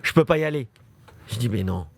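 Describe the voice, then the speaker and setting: A man's voice: medium pitch, lively intonation, a little fast. A man in his thirties from France, a football show presenter, telling stories in casual talk and repeating phrases for emphasis. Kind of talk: casual chat on a livestream.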